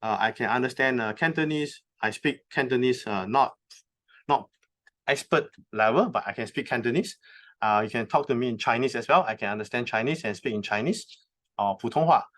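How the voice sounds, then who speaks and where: A man giving a conference presentation, explaining terms step by step and pausing often on filler sounds. He has just moved to Hong Kong from North America. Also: medium pitch, a bit bright. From recently moved from North America. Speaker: conference speaker. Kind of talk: presentation talk. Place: conference venue.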